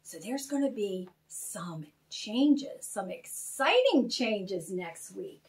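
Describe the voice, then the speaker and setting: A woman reading her own children's story aloud and chatting to young listeners in an animated, expressive manner. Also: medium pitch. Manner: animated, expressive, spells out names slowly.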